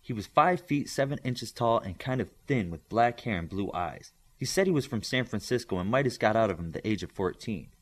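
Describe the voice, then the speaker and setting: Expressive male audiobook narrator. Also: fairly low pitch, fairly quick, few pauses. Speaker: audiobook reader. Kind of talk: scripted story narration.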